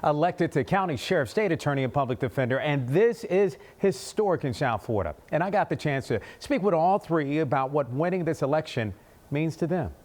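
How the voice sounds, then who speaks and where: A man's voice: fairly high pitch, lively intonation, brisk, a little mellow. A man with an American accent giving a live news report from a TV studio.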